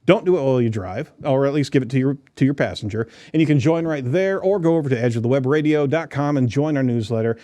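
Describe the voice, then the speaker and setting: A man's voice: on the high side, darkish tone, no room sound. Jokey male podcast host, dry sound. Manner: jokey, laughs often, stumbles over words. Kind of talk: casual interview and promo read.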